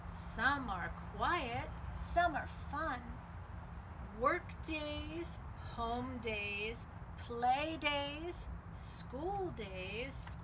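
A middle-aged woman reads a picture book to children in a high, very expressive, sing-song voice. She hosts storytime with deliberate, drawn-out phrasing, recorded outdoors with clear background noise. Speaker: library storytime host. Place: outdoors on a home patio.

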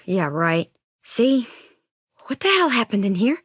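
A woman's voice: fairly high pitch, big pitch swings, takes it slowly, fairly bright tone, husky, no echo, clean studio sound, heavily acted. A woman playing an earnest, anxious teenage girl survivor in a video game, friendly and folksy but hesitant and weighed down by guilt. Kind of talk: naturalistic video game voice acting.

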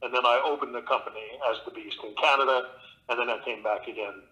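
A man, an actor and audiobook narrator, being interviewed and telling stories in a relaxed way with plenty of fillers and restarts. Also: medium pitch, fast talker, rough recording. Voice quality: big voice. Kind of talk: conversational interview.

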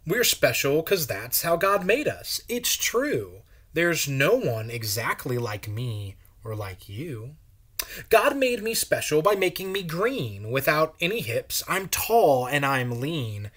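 A man's voice: fairly high pitch, very animated, slow, fairly bright tone, husky, exaggerated voice. A man voicing a cheerful talking male cucumber in bouncy, sing-song storybook rhyme.

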